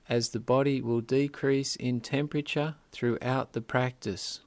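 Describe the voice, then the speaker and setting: A man leads a guided yoga relaxation at a very unhurried pace. His mid-pitched voice gives measured, deliberate instructions in short phrases, recorded dry and close with little room sound. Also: gravelly, a little mellow, dry recording.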